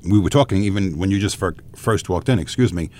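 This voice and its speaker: A man's voice: low voice, fast, darkish tone, a little hiss or hum. A man hosting a talk show, chatting casually and affirming his guest often. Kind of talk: casual interview chat.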